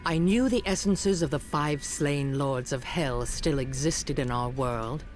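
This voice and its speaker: Man as a high-pitched, grave demon hunter. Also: a little slow, faint music behind, natural voice. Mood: solemn. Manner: solemn, emphatic. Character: grave, zealous parent hunting demons, fiercely dedicated. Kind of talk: video game acting.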